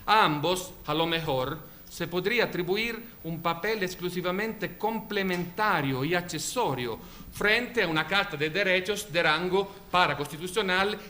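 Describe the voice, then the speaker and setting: A man whose first language is Italian, speaking with an Italian accent in a formal academic conference talk, measured, with occasional slips and self-corrections. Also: fairly high pitch, pushed and bright, slight background noise. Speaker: invited law professor.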